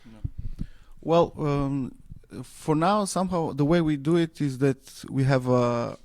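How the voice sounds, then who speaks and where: A man from Serbia, speaking English as a second language, answering radio interview questions with many pauses and fillers. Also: fairly high pitch, darkish tone. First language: Serbo-Croatian. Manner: hesitant, many fillers and restarts. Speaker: interview guest, anarchist newspaper editor.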